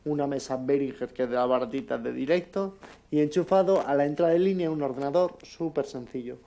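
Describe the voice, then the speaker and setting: A man with an accent from Spain giving an informal conference talk in a halting, conversational way. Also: mid-range voice, gravelly, mellow. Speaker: conference speaker, amateur audio drama producer.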